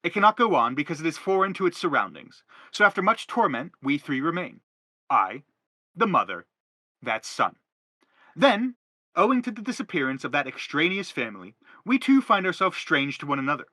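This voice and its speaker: A man's voice: on the high side, sing-song, normal speed, sharp tone, dry recording, full character voice. A man playing a self-justifying middle-aged father in a stage play, philosophizing earnestly in a wordy, declamatory way. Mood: earnest explaining. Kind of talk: theatrical stage-play reading.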